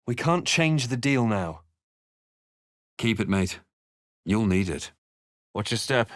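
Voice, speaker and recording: An actor playing a brooding male adventurer in a fantasy game, narrating his quest in a measured, theatrical, grave manner. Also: on the low side, big pitch swings, dry recording, studio quality. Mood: wary. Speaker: player character in fantasy role-playing game. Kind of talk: dramatic scripted game voice lines.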